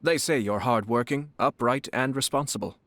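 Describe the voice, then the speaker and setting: An actor voicing a shrewd young male noble commissioner in a video game, courteous and measured. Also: mid-range voice, a bit bright, natural voice. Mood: gracious. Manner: courteous, measured, politely probing.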